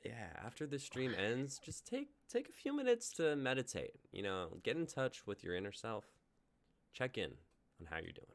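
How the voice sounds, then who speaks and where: A man's voice: medium pitch, big pitch swings, moderate pace, no room sound. A young man hosting a live stream, chatty and casual as he plugs links and upcoming plans. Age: young adult. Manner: chatty, plugging links and schedule. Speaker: stream host.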